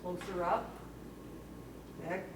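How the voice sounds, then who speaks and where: A woman presents her photos casually to a club at an unhurried pace. Her delivery is halting and informal. She is picked up from far off in a very echoey room with clear background noise. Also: fairly low pitch, steady tone. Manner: halting, informal, chuckling between remarks. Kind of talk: casual slide commentary. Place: library meeting room, recorded from afar. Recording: very echoey room, distant mic, noisy.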